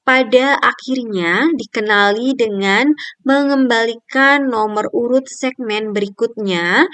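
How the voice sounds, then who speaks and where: A woman's voice: a little high, a bit bright, clear, resonant tone, no room sound. A young adult woman reading a scripted article review in a careful, point-by-point manner, like a student presenter.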